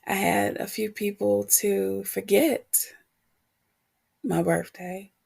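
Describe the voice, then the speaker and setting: A woman podcast host talking reflectively and candidly on her own, her voice husky and prone to cracking as she gets over a cold. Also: mid-range voice, steady tone, darkish tone. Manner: candid, unhurried, pausing between short phrases. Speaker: podcast host and motivational coach. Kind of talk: reflective solo podcast talk.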